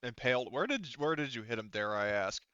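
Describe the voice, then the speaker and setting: Bright, clear-voiced man running a game. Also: medium pitch, lively intonation, fairly quick, dry recording, dull audio. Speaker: game master. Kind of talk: casual tabletop game refereeing and narration.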